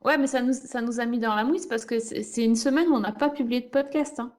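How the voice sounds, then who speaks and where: A woman's voice: on the high side, brisk, darkish tone. A woman in casual podcast chat, speaking informally and a little hesitantly.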